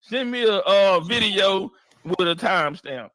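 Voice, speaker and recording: A man's voice: high voice, animated, measured pace, sharp tone, no room sound. A man ranting casually and bluntly, repeating himself and stalling with "uh". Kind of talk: casual rant.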